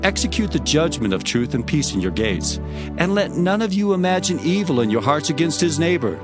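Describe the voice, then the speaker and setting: A man's voice: fairly high pitch, big pitch swings, fairly quick. A man narrating scripture dramatically in a solemn, declamatory manner. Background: music.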